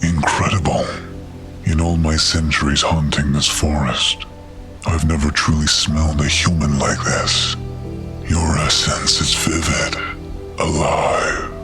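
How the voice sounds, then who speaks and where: A man's voice: a bass voice, flat delivery, slow, music in the background. A man playing a huge, ancient cursed wolf spirit, proud and stern, speaking in archaic, formal words in a fantasy audio drama. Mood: pensive.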